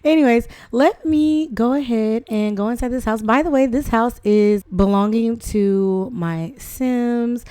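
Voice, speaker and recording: A young woman with a hoarse voice from the flu, chatting casually as she narrates a game tutorial over gameplay. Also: on the high side, measured pace, hardly any pauses, warm tone, dry recording, clean studio sound. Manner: chatty, rambling, self-deprecating. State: hoarse from flu, voice not back. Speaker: gaming channel host.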